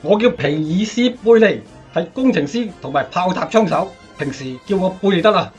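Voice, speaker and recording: A young airman, blunt and given to grumbling. His voice sits fairly high, with radio drama delivery and faint music behind it. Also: animated, moderate pace, darkish tone. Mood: brisk. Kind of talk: Cantonese radio drama acting.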